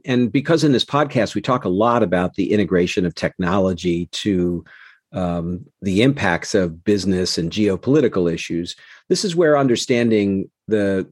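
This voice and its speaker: A man's voice: fairly low pitch, darkish tone, no room sound, studio quality. A man hosting a podcast, summarizing points and asking leading questions. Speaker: podcast host.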